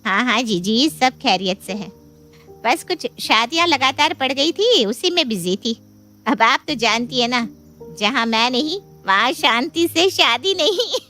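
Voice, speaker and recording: A bustling younger-sister matchmaker, played by a woman pitches her case in a high voice over faint music. She is boastful and persuasive, gushing through storybook dialogue. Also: unhurried, full character voice. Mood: cheerful, boastful. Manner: gushing, persuasive, animated phrasing. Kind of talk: dramatised Hindi moral story dialogue.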